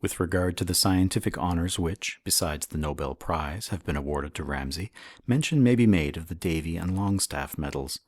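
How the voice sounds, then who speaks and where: A man with a husky voice reads a historical science text as formal, measured audiobook narration. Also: deep voice, darkish tone, dry recording, studio quality. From Canada. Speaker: audiobook reader.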